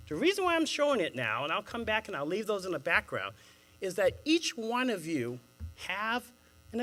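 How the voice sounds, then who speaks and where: A man with a Boston accent moderating a panel, chatting with a live audience in an animated way and laughing at his own jokes. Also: high voice. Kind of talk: engaging address to a live audience.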